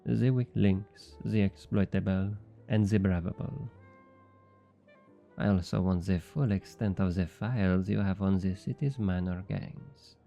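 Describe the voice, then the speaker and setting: An actor playing a smug, flirty thief with a French accent, teasing and coaxing in an intimate ASMR roleplay. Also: male voice, low-pitched, measured pace, gravelly, dark tone, faint music behind. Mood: coolly bargaining. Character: obnoxiously flirty, smug male thief and information broker.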